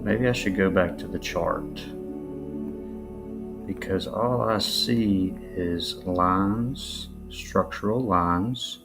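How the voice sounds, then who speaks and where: Slow, deep-voiced man musing over music. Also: vocal fry. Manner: musing, halting, with rhetorical questions. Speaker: video narrator. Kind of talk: casual unscripted commentary over images. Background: new-age music.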